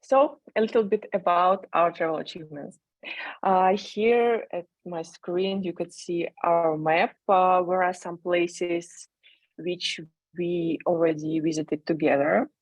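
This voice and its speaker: A woman from Russia with a Russian accent presenting slides in non-native English over a video call, in a measured way with pauses. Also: on the low side, fairly even intonation, no echo. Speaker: conference speaker, dog trainer. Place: video call with screen sharing. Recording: dull lo-fi online call audio.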